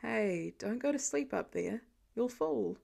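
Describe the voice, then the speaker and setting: A woman's voice: mid-range voice, lively intonation, slow pace, gravelly, warm tone, exaggerated voice. A woman voicing an easygoing, tender husband and father with folksy banter, as audiobook dialogue. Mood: warm, easygoing.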